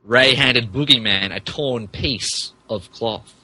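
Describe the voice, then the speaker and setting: A man's voice: mid-range voice, slow, bright, forceful tone, dry recording. A man narrating a comic dramatic reading as a podcast co-host, dropping in casual asides.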